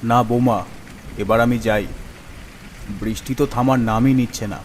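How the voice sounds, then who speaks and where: Man playing a low-voiced, fatherly village schoolmaster. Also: fairly even intonation, moderate pace, a little hiss or hum, close to an everyday voice. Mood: reassuring, caring. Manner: reassuring, fatherly, concerned. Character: kindly village schoolmaster who doubles as doctor. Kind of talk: Bengali cartoon story acting.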